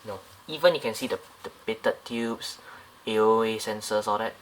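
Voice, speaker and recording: A man's voice: fairly low pitch, moderate pace, poor audio. A man casually reviewing a scale model airliner up close, talking in a loose, rambling way with many fillers and restarts.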